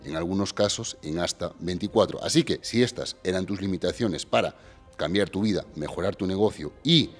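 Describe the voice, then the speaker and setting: A man's voice: sits low, fairly quick. A man in his thirties hosting, speaking straight to viewers with energy, holding a handheld mic in a large gym hall. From Galicia. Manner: energetic, direct address to viewers. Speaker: host. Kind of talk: presenter pitch and interview. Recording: reverberant hall with background music.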